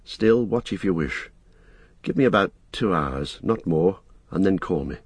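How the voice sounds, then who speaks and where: A man voicing a gentle, earnest, courteous hobbit in an audiobook, speaking in a kindly, measured and polite way. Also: deep voice, animated, breathy edge, no room sound, full character voice. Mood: thoughtful. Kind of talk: audiobook character acting.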